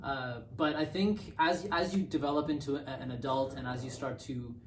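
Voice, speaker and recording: Halting, reflective man, off-mic. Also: medium pitch, steady tone, far from the mic. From born in Bangladesh, raised in Canada. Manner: thoughtful, halting, repeats words as he thinks. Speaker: podcast guest. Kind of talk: casual conversational interview. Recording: roomy, off-mic, faint hiss.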